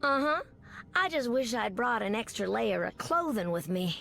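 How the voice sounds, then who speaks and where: A confident Southern American woman superhero, with a slightly high voice and gravelly creak at the edges. She drawls sassy, folksy game banter. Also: very animated, normal speed, a bit bright, exaggerated voice. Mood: playful, sassy. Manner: drawling folksy banter, calls people sugar. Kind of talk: video game character dialogue.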